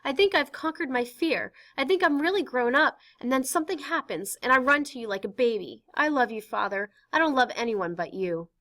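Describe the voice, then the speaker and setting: An anxious, intense teenage girl in hiding, as a woman reading a play puts her on. Her voice sits high and bright, pouring out pleading, confessional words. It is a stage play read aloud. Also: lively intonation, brisk, few pauses.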